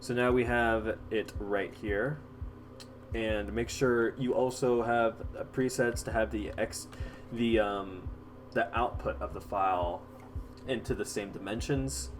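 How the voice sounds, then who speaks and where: A man hosting a casual software tutorial, explaining step by step in an informal, halting way. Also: lowish voice, fairly even intonation, faint music behind. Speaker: tutorial host.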